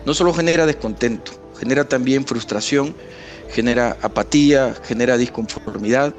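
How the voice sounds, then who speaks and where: This man speaks in a medium register as an interviewed expert. He is formal and articulate, piling items into emphatic lists. A soft music bed sits behind him. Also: medium pitch, moderate pace. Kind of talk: formal analytical interview commentary.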